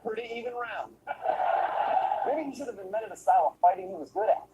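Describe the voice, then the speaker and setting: Deadpan mocking male comedy host. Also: high voice, brisk, very noisy. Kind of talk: sarcastic comedic narration and interview.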